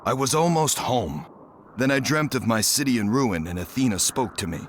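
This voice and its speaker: A man's voice: mid-range voice, lively intonation, moderate pace, a little hiss or hum, natural voice. An actor playing a wry, seasoned hero in a video game, a man with a storytelling manner. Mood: wry, reflective. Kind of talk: dramatic video game voice acting. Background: faint music.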